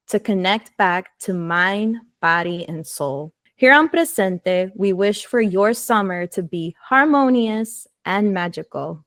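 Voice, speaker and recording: A woman reading a scripted, reflective podcast segment in a soft, calm way. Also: medium pitch, no echo.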